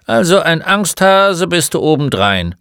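Man voicing a gruff, loud, mocking old shopkeeper. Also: mid-range voice, very animated, normal speed, no room sound, clean studio sound, voice pushed up. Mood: scornful. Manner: grumbling, blunt, interrogating. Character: gruff, mocking old male bookshop keeper. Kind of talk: storybook character voice.

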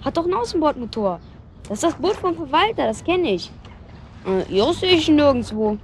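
A woman's voice: high voice, sing-song, moderate pace, a little hiss or hum. A woman in a German radio drama playing a helpful, casual, slightly cheeky youngster who talks matter-of-factly. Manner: casual, matter-of-fact, colloquial.

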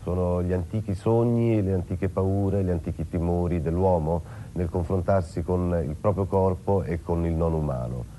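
Deep-voiced, mellow man explaining hesitantly. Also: steady tone, normal speed, a little hiss or hum. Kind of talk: reflective explanatory talk.

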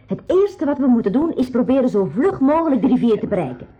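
An actress with a Belgian Dutch accent playing a young, inquisitive, clear-headed girl adventurer in a school radio play. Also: high voice, big pitch swings, fast talker, phone-quality sound, full character voice. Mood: decisive, alert.

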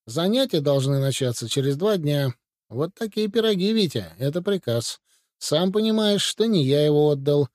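A man voicing a brisk, commanding Soviet military adviser in an audiobook, in a matter-of-fact briefing manner. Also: fairly high pitch, animated, darkish tone, no echo, studio quality, natural voice. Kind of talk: audiobook reading.

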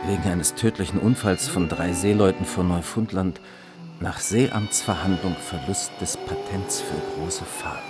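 Wortkarger, tiefer klingender Ermittler. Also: male voice, low-pitched, huge pitch swings, unhurried, loud music behind the voice, full character voice. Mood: dry irony. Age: late 30s. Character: wry, melancholy German police investigator, nearly forty. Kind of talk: radio drama, first-person narration and dialogue.

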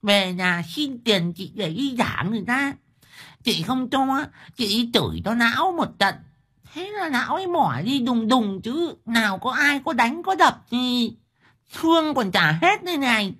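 A woman's voice: fairly high pitch, sing-song, a little slow, a bit bright, slightly rough, full character voice. A woman playing a coarse, talkative village wife who complains bluntly about her husband in an audio story. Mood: tearful protest. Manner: blunt, exclamatory, colloquial complaining. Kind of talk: dramatized audio story dialogue.

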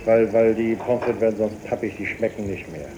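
A man's voice: fairly low pitch, steady tone, a little fast, muffled recording. A man giving his opinion casually and emphatically, repeating himself and ending phrases on a questioning tag. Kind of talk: casual spoken opinion in an audio collage. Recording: noisy old cassette tape recording.

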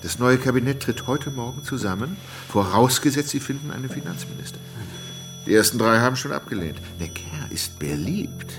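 A man's voice: medium pitch, lively intonation, a little fast, over music, close to an everyday voice. An actor playing a domineering, mocking aristocratic statesman in a radio drama, theatrical and sardonic.

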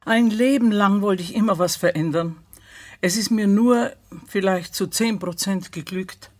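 An older woman reflecting in a documentary interview, searching haltingly for her words and posing questions to herself. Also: mid-range voice.

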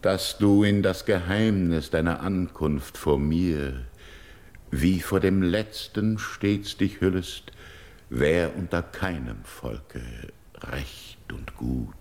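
A proud older king in a classical radio play, speaks very low with a gravelly creak. His is solemn and slow, declaimed as verse in a roomy space. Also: darkish tone. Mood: stern, reproachful. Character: grieving, proud older king, childless widower-like ruler seeking a bride.

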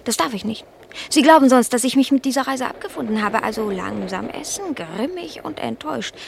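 A woman's voice: on the high side, lively intonation, fairly quick, vocal fry, crowd noise. A woman voicing a haughty, grumbling, melancholy pet cat in a theatrical, self-dramatizing radio play manner. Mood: sulky, wary.

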